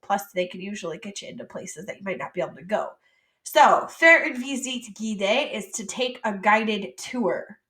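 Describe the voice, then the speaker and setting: A woman from the United States teaching French vocabulary, saying each word slowly and then explaining it in casual English. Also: medium pitch, expressive, a bit bright, reverberant. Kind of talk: vocabulary lesson.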